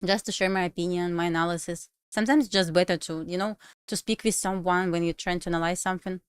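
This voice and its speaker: A woman with a Russian accent, a native Russian speaker, chatting casually on a trading livestream in halting English with restarts. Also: lowish voice, steady tone, clear, resonant tone, dry recording. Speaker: livestream trader.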